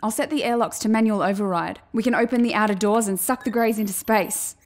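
A woman's voice: medium pitch, fairly quick, natural voice. Actress as clever female spaceship scientist. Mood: focused. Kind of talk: sci-fi audio drama acting. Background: music.

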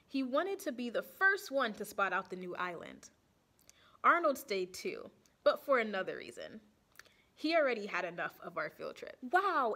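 A young woman reading a picture book aloud to children in an expressive storytime style, pausing to put questions to them. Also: fairly high pitch. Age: young adult. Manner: animated, asks the children questions.

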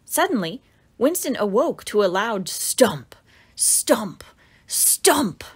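A woman reading her own children's picture book aloud, with expressive storybook narration and dramatic exclamations for young listeners. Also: medium pitch, takes it slowly, breathy edge.

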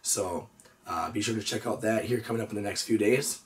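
A hoarse man in his thirties, a reptile breeder hosting his own channel, chatting easygoingly with lots of "uh" and "so". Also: on the low side, brisk, recorded from a distance, a little hiss or hum. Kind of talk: casual show-and-tell vlog. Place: indoor reptile room with storage drawers.

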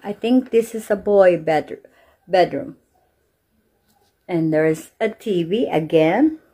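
Slow-talking Filipino woman filming a house tour. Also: medium pitch, a little mellow. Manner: playful, mixes Filipino and English, slow drawn-out phrasing. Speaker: vlogger giving a house tour. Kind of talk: casual chatty house-tour narration. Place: indoors in a house, walking room to room. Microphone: handheld phone camera.

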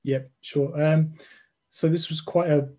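A man in his forties, a scientist explaining his research over a video call from a room at home, thoughtful and full of pauses and fillers. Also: a little high, fairly even intonation, warm tone. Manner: thoughtful, hesitant, many fillers and restarts. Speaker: genomics lecturer, guest scientist. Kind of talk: explaining research in a live online interview. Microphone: video call webcam.

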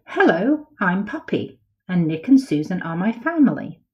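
A woman's voice: big pitch swings, moderate pace, muffled recording. A woman doing an eager little puppy. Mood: cheerful. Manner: high, eager, wide-eyed. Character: excited young puppy, male, small, eager.

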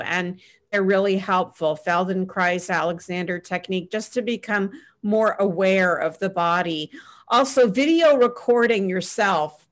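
A middle-aged woman speaking from a small home room over a webcam, talking in an earnest, advice-giving way. She often pauses with "um" as she answers questions on a video call panel, at a medium pitch.

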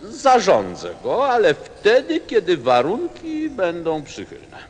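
Pompous radio-play king, wildly varied pitch, slow grandiose delivery, long reverb, light music. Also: wildly swooping pitch, heavily acted. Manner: grandiose, hesitant, measured with sudden swoops. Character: pompous lonely king on a tiny planet, craving obedience. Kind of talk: theatrical radio play acting.

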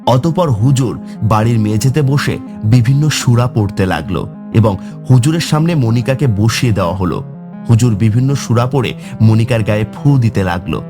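A man's voice: mid-range voice, expressive, normal speed, over music. A man narrating a scripted horror story for a cartoon.

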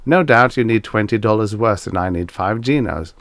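A man's voice: fairly low pitch, lively intonation, normal speed, fairly bright tone, dry recording. A man voicing an affable, chatty visitor from Venus in a breezy, matter-of-fact way for a story. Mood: genial, obliging.

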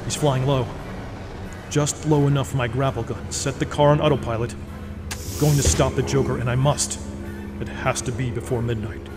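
A man's voice: medium pitch, steady tone, normal speed, over loud music, lightly acted. An actor playing a grim, determined male vigilante in an audio drama, terse and commanding. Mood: grim urgent. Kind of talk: audio drama inner monologue. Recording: loud engine and outdoor noise.

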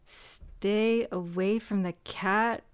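An actress with a Mexican American accent playing a proud, vengeful young woman, intense and shifting between mutters and shouts. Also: mid-range voice, steady tone, extremely slow, clear, resonant tone. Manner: intense, swinging from muttering to yelling. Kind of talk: audio drama acting.

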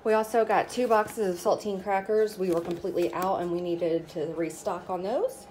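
A woman's voice: medium pitch, steady tone, normal speed, a little mellow. Chatty woman unpacking groceries, noisy room. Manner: chatty, itemizing each product. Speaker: vlogger. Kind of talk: casual grocery haul show-and-tell. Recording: noticeable background noise. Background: rustling bags and crinkling packaging.

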